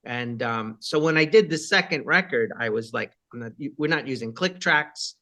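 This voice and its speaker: A rock singer with a high voice, chatting casually in an interview and rambling with many fillers and asides. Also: male voice, expressive, moderate pace, bright, forceful tone.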